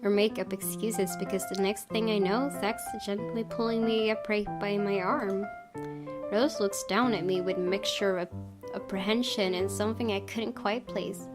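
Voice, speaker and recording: A woman's voice: medium pitch, steady tone, normal speed. A woman reading visual novel narration aloud expressively as a gaming video host. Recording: clear music under the voice.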